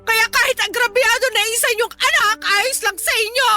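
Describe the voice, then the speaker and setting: A resentful, dutiful daughter in a Filipino radio drama, with a very high, bright voice. She speaks with a Filipino accent and pleads emotionally, like someone who has given too much to her family. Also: steady tone, moderate pace, faint music behind, full character voice. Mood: reproachful, hurt.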